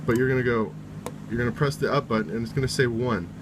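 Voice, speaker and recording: A man's voice: medium pitch, steady tone, fast, background noise. A man giving a casual, step-by-step how-to in an informal way, often reiterating each step. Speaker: tutorial presenter.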